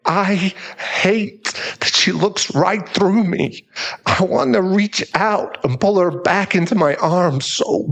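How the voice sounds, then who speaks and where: A man's voice: high-pitched, vocal fry, a bit bright, pitched above the performer's own voice, full character voice. Casting: male actor as remorseful bully, now a divorced dad; stammering, emotional, audio-drama delivery. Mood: anguished. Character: troubled divorced father, a remorseful bully in therapy. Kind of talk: dramatic audio roleplay acting.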